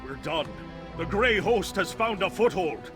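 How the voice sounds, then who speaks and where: A man's voice: high voice, expressive, measured pace, music in the background. A man playing a bitter, betrayed warrior commander in a fantasy video game, with an intense, dramatic delivery. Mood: bitter, despairing.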